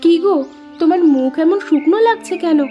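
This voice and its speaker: Cartoon village wife, played by a woman: doting, plaintive, easily wounded, very high voice, music underneath. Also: expressive, normal speed, dark tone, muffled recording. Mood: concerned. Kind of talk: Bengali cartoon story voice acting.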